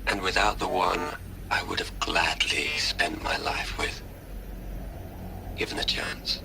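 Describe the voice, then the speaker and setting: An actor playing a bitter, grieving older scientist, speaking ruefully and deliberately in a video game audio log. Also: male voice, deep voice, lively intonation, creaky, background noise, heavily acted. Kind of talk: scripted audio log monologue. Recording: music behind the voice. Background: music.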